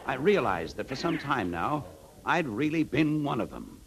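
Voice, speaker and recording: A man's voice: medium pitch, sing-song, moderate pace, gravelly, background noise. An actor in a 1950s radio drama playing a weary, disillusioned advertising man who tells his story in a hardboiled, reflective way. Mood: grave, resigned. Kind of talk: 1950s radio drama acting and first-person narration.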